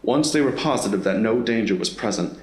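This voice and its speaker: A man's voice: on the low side, animated, brisk. A man reading scripted narration for an audio drama. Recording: roomy, echoing voice recording.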